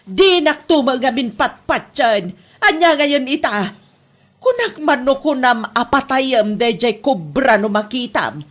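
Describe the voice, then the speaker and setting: Woman doing ilocano comedy mom, scolding and doubtful, slow, higher voice. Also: lively intonation. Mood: grumbling. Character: scolding, doubtful mother in a comic household. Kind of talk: Ilocano comedy drama acting.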